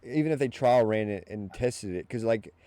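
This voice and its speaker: A man's voice: mid-range voice, moderate pace, mellow, dry recording. A man in his twenties hosting a podcast, talking informally with lots of "like" and "you know what I mean". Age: 20s. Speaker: podcast host. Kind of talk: casual podcast chat.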